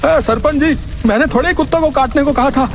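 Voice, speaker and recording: A vengeful, cheeky cartoon crook from the village, put on by a man. The voice is high and whiny with excitable, rustic delivery. Clear music runs underneath. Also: fast. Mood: whiny, flustered. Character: scheming, vengeful village crook, cheeky hot-headed male sidekick. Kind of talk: cartoon moral-story acting.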